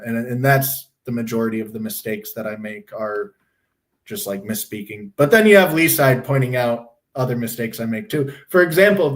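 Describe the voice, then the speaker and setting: A young man chats casually on his livestream, rambling from point to point. His voice is hoarse and going from a sore throat. He is blunt and swears without a second thought, at an ordinary middle pitch. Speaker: livestream host.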